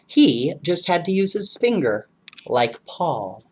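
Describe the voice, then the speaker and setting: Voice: medium pitch, big pitch swings, takes it slowly, breathy edge, heavily acted. A storyteller voicing a dreamy, earnest young girl full of wonder in a bedtime storybook. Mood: thoughtful.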